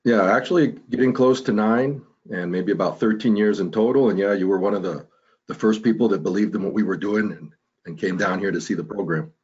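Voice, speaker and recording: A man from Costa Rica who works in medical tourism, answering interview questions in a calm, measured way on a video call. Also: on the low side, breathy edge. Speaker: hospital medical tourism director. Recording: dull video call audio.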